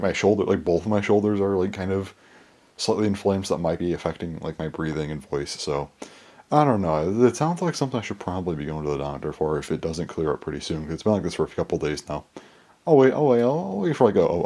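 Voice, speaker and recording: A young man with a very low, deep voice gives a loose, chatty update. His voice is worn and hoarse, and he rambles along with many fillers and restarts, recorded by a camera in a small bedroom. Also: darkish tone. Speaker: toy collector vlogger.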